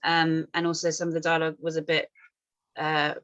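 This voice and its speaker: Female panel reviewer, lowish pitch, tentative and meandering, offering apologetic informal notes. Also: completely flat delivery, brisk, fairly bright tone, highs cut off. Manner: hesitant, rambling, apologetic. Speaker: script panel reader giving feedback. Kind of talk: informal spoken critique.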